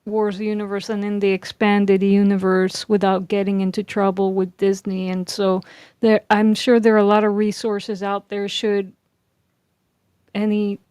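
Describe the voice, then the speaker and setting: A woman with a smooth, clear voice in a dry, close-sounding recording. She chats casually as a co-host, rewording her thoughts as she goes. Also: mid-range voice, completely flat delivery, unhurried, a little mellow, no room sound. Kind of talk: casual chat.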